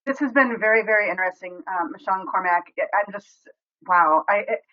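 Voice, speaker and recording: A woman's voice: medium pitch, quick pace, lo-fi sound. A woman moderating a panel, effusive and rambling with restarts. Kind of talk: webinar moderation.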